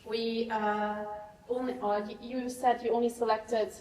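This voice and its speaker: Woman host, fairly high voice, halting and filler-heavy, far off in a reverberant lecture hall. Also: little pitch movement, a little mellow, slight background noise. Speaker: lecture host introducing a speaker. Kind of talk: formal introduction with many fillers. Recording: echoey hall, mic from far off.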